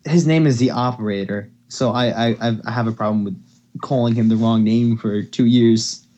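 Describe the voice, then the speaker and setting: Through a dull lo-fi microphone, a man hosts a fan fiction reading. His voice sits at a middling pitch. He reads in an informal amateur storytelling style and trips over the odd word. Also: fairly even intonation, normal speed, mellow. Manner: informal, stumbles over words.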